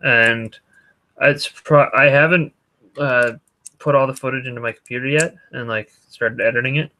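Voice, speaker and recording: A young man chatting casually as a guest, with a dry, deadpan way of teasing. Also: medium pitch, moderate pace, poor audio. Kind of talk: casual chat.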